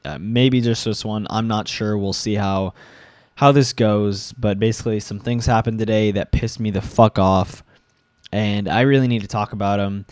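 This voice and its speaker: A young man ranting to camera, sick and a bit congested, halting and restarting as he gets agitated. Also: lowish voice, fairly even intonation, a little mellow, clear, resonant tone, no echo, studio quality. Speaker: vlogger. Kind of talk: ranting commentary.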